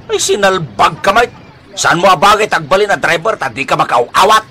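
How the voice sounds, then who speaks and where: A man's voice: high-pitched, big pitch swings, moderate pace, bright, forceful tone, a faint crowd, exaggerated voice. A man with an Ilocano accent playing a hot-tempered, quarrelsome bully in a radio drama, scolding and exclaiming in bluster. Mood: indignant, scolding.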